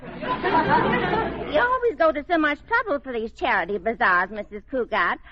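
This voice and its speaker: An actress playing a loyal housemaid in a 1940s radio comedy, deferential with deadpan quips. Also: female voice, sits high, unhurried, a loud crowd. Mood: wry, helpful. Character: loyal, dry-witted housemaid to a suburban wife. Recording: noisy lo-fi old radio, loud audience.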